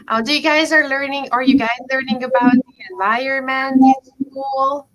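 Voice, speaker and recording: A woman's voice: high-pitched, flat delivery, normal speed, a bit bright, lo-fi sound. A woman, a professor giving a friendly chemistry talk to children over a video call and checking in with them often. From Hungary. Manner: encouraging, checks in often with the kids. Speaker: professor teaching a kids' chemistry camp.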